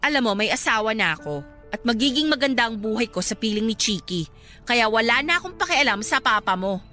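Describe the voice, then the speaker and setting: A spiteful young stepsister in a radio drama. The voice is high and forceful with lively swoops, haughty and scornful as it taunts and orders people around. Faint music plays behind it. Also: female voice, a little fast. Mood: scornful, irritated. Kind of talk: Tagalog radio drama acting.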